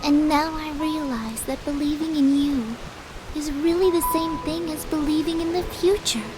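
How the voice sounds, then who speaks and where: A woman voicing a gentle, sincere young girl in a game cutscene who speaks softly and thoughtfully. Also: high voice, fairly even intonation, moderate pace, close to an everyday voice, rough recording. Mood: tender. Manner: soft, sincere, reflective. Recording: game audio with clear music behind.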